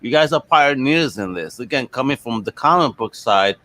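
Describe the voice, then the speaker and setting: A man's voice: mid-range voice, lively intonation, moderate pace, bright, forceful tone. A man hosting a podcast in a casual, encouraging, slangy and effusive way over a video call. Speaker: podcast host. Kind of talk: casual chat interview.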